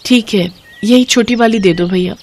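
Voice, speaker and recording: A woman voicing a humble, gentle poor maid and mother in a children's story, speaking meekly and deferentially. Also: mid-range voice, moderate pace, close to an everyday voice. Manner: meek, deferential.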